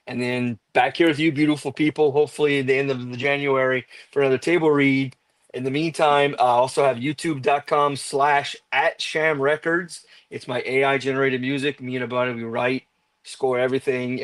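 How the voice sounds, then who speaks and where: A man's voice: medium pitch, no room sound. A man speaking casually as a guest on a stream, running through his upcoming show plans over a phone.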